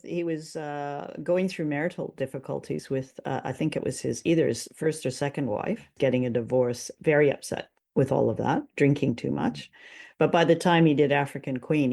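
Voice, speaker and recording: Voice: medium pitch, fairly even intonation, moderate pace, gravelly, darkish tone. A podcast host talking in a casual, explanatory discussion style.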